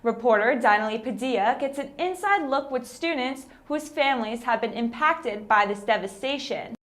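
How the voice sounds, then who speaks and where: Higher-voiced woman anchoring the news. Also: expressive, moderate pace, fairly bright tone. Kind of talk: scripted news introduction.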